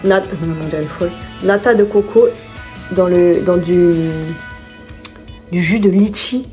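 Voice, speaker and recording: Woman vlogging her grocery haul from home, rambly and self-correcting, warm mellow voice, faint music under. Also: fairly low pitch, unhurried. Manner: chatty, rambling, self-correcting, laughs at herself. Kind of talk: casual vlog chat, showing her shopping haul.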